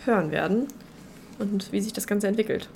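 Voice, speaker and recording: A woman's voice: medium pitch, normal speed, gravelly, darkish tone, slight background noise. A young woman co-hosting a podcast in casual chat, with a joking, ironic manner. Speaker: podcast co-host.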